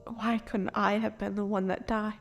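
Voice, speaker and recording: A woman playing a frightened but defiant noblewoman in an audio drama, with a halting, stammering, anguished delivery. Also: medium pitch, little pitch movement, soft background music, close to an everyday voice. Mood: grief-stricken. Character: distraught revived noblewoman, mother, frightened and defiant.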